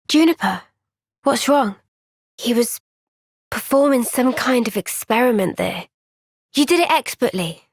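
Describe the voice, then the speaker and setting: Gentle young soldier girl from a fantasy game, slow careful talker, sincere, really dry close sound. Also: a little high, lively intonation, dry recording. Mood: calm, thoughtful. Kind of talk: video game cutscene voice acting.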